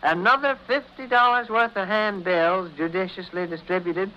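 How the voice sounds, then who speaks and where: A high-pitched, excitable middle-aged husband played by a male actor in an old radio soap. He stammers grandly through wordy schemes, on a muffled recording with hiss. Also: moderate pace, close to an everyday voice. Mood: boastful. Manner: stammering, chuckling, pompous wordiness. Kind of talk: old-time radio serial acting.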